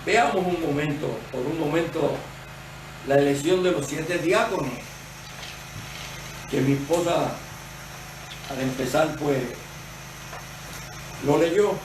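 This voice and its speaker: Male preacher, Puerto Rican accent, slow and methodical, heard from a distance. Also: a little high. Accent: Puerto Rican Spanish. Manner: measured, explanatory preaching. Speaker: associate pastor. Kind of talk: sermon. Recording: roomy, distant church recording with faint noise.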